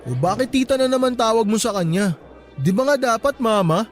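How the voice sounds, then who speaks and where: Radio drama sweetheart: high-pitched, affectionate, playful Filipino boyfriend, faint music underneath. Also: male voice, expressive, brisk, some chatter behind. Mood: teasing, sweet. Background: background music.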